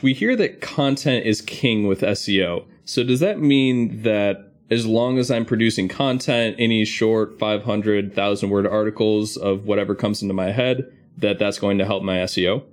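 Man, podcast host, slightly low pitch, structured explanatory delivery.

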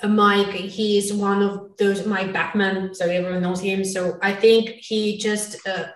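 A woman answering casually as an interview guest, halting as she searches for words, with non-native phrasing. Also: medium pitch, flat delivery. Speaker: interview guest, recruiting sourcer. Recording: roomy, echoey, distant mic.

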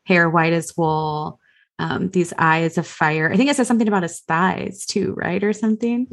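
A woman's voice: fairly low pitch, fairly even intonation, normal speed. A woman co-hosting a casual faith podcast, talking loosely and informally. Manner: loose and informal, many fillers. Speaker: podcast co-host.

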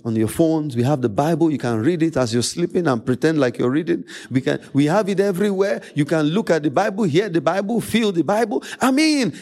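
Male pastor, Nigerian accent, Yoruba native. High-pitched, swooping delivery; emphatic sermon with repeated phrases and dramatic pauses. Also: darkish tone. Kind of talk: preaching a sermon.

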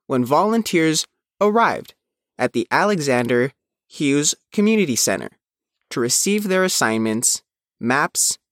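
A man's voice: on the high side, a bit bright, no room sound, clean studio sound. A man reading a scripted news report as its reporter, in a narrated style. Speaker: reporter reading own written article.

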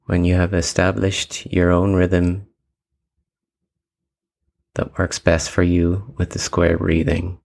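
A man leading a guided meditation with calm, measured instructions and long pauses between phrases. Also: low-pitched, creaky.

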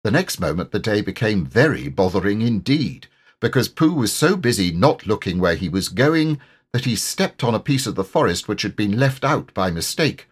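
A man's voice: on the low side, big pitch swings, normal speed, breathy edge. A man narrating a children's story expressively, as an audiobook reader.

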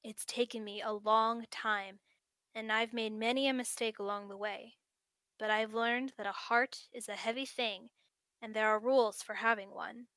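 A woman reading a gentle bearded father. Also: fairly high pitch, fairly even intonation, normal speed, dry recording. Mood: tender. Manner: soft, tender bedtime tone. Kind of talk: reading a story aloud.

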